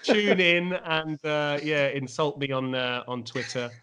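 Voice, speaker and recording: A man's voice: fairly high pitch, steady tone, a little slow, heavy background noise. A man who works as a football commentator, chatting as a podcast guest in a jokey, self-deprecating way. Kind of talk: casual podcast chat.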